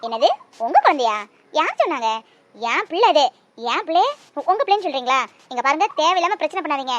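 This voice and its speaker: A woman's voice: high voice, very animated, fast talker, no room sound, highs cut off. A woman playing a young mother who fiercely guards her child. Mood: angry. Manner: coaxing to her child, sharp when confronted. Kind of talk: Tamil drama acting.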